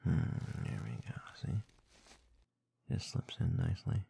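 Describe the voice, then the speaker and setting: A man doing intimate ASMR roleplay into a close binaural microphone, murmuring in a husky, breathy, often whispered voice with a slow, teasing, dominant manner. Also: extremely low pitch, sing-song, dark tone. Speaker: ASMR audio performer.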